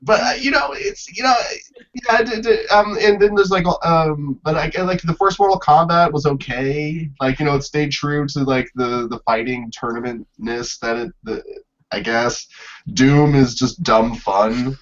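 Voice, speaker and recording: A man's voice: on the high side, a little slow, fairly bright tone. A man chatting casually as a panelist on a podcast, recorded in dull, lo-fi audio. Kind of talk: casual chat.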